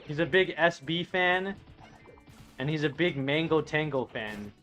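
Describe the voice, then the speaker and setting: A man's voice: fairly high pitch, animated, unhurried, fairly bright tone, soft background music. A man in his late thirties streaming a game, chatting casually and reading viewer messages aloud in a rambling, profane way. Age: 30s. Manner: rambling, profane, restarts sentences. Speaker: streamer playing an online game.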